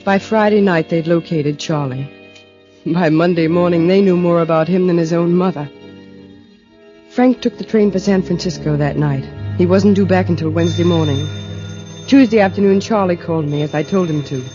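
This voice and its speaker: Woman acting calculating wife for vintage radio suspense: controlled, quietly persuasive, lo-fi archive sound. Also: on the low side, moderate pace, natural voice. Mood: cold, resolved. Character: cool, calculating wife secretly plotting her husband's murder. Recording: dull vintage radio recording with music.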